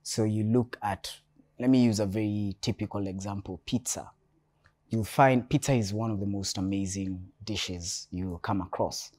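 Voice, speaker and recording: A Kenyan man from Nairobi speaking as a guest on a panel, measured and reflective, often restarting his sentences. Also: lowish voice, fairly even intonation, darkish tone. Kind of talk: panel discussion. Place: hotel event space.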